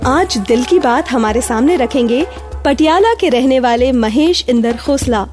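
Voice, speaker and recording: Animated high-voiced Indian woman radio host. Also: a little slow, soft background music. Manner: addresses listeners directly, playful rhetorical questions. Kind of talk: scripted radio presenting.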